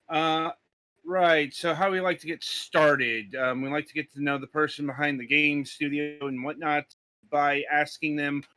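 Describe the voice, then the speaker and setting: A man's voice: on the high side, sharp tone. A man hosting an interview show, asking questions in a loose, chatty way full of fillers. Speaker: podcast interview host.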